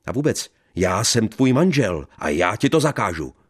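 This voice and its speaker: A man voicing an angry, jealous young husband who shouts and scolds coarsely. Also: a bass voice, very animated, husky, full character voice. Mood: angry scolding.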